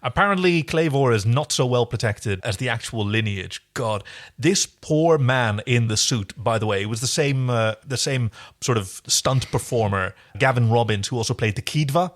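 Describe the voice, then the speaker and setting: A man's voice: medium pitch, animated, normal speed. A man co-hosting a podcast, chatting casually through a TV episode recap with wry, jokey commentary. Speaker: podcast co-host.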